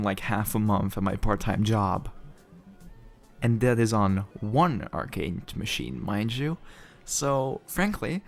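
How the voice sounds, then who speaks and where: Man acting deep-voiced teasing young guy. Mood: teasing disbelief. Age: young adult. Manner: teasing, playful, halting and mock-polite. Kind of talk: intimate audio roleplay, casual conversational acting.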